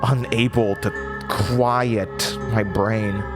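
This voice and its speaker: Male actor as haunted young woman, horror audio drama, slow pace, halting, brooding, obsessive, lonely, faint music underneath. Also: lowish voice, steady tone. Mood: obsessive, intense. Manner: halting, stammering, brooding, intense.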